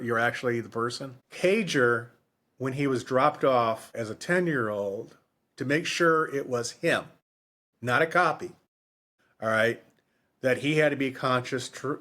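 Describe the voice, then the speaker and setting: A man's voice: mid-range voice, fairly bright tone. A man co-hosting a podcast, talking casually and off the cuff, often repeating himself and rephrasing as he goes. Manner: rambling, repeats phrases, self-correcting. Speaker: podcast co-host. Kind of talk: casual unscripted book discussion.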